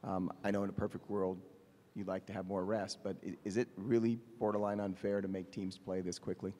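Male reporter, low flat voice, rambling question, echoey hall. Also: fairly quick. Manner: long, winding question with restarts. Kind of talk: press conference question. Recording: long hall reverb.

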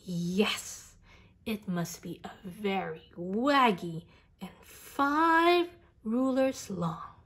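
A woman voicing a whimsical, insistent little girl from a picture book, earnest and emphatic with drawn-out words. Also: mid-range voice, lively intonation, fairly bright tone, breathy edge, full character voice.

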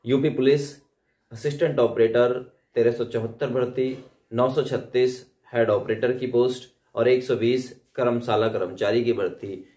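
A young man talks in a medium-pitched voice. He explains things informally, as if to students, circling back over points with tag questions. The room around him is noticeably echoey. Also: darkish tone. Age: young adult. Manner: repeats himself, frequent "hai na" tag questions. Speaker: host of exam update videos.

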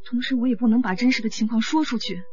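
Radio drama: troubled young female star played by an actress, high pleading voice, music behind, slightly muffled. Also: fast talker, darkish tone, close to an everyday voice. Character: distressed young female pop idol, pleading and proud. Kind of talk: Mandarin radio drama acting.

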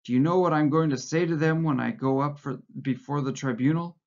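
A man's voice: on the high side, fairly quick, darkish tone, pitched above the performer's own voice, natural voice. A man voicing a frightened, fawning middle-aged male prisoner who pleads eagerly and nearly blubbers. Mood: anxious, sanctimonious. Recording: muffled lo-fi recording.

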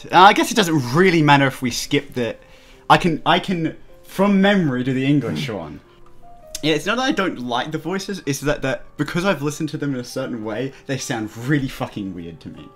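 A man's voice: mid-range voice, lively intonation, normal speed, faint music behind. A man in casual, opinionated gaming commentary, chatting with a co-host and swearing freely. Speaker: let's play co-host.

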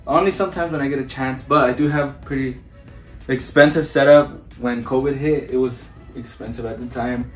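A man talks casually, as a vlogger showing rooms would, dropping in Spanish words. His voice sits at a medium pitch. It is recorded a little away from the mic in echoey indoor rooms, with music in the background. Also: steady tone, moderate pace, recorded from a distance. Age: 20s. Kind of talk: casual house tour vlog, chatty. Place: indoors, small bathrooms and bedrooms of a house. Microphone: handheld camera or phone held by another person, a few feet away. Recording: roomy echo, voice away from the mic.

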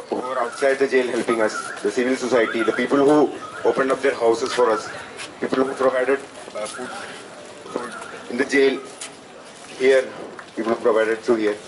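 Halting young Indian man, noisy distant recording. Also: mid-range voice. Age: 20s. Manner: halting, repeating phrases as he searches for words. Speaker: student speaker at a rally. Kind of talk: impromptu public address. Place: outdoors at night under a canopy. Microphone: handheld microphone, recorded from a distant camera. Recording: noisy outdoor PA, distant camera pickup.

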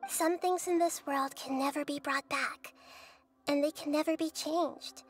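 A woman voicing a gentle childlike goddess. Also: sits high, close to an everyday voice. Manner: soft, calm and earnest. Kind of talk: video game voice acting.

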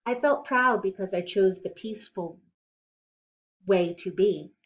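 A middle-aged woman reading a children's picture book aloud in an expressive, deliberate way, recorded indoors at home. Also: mid-range voice, dull audio. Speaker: children's storybook reader. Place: living room at home, indoors.

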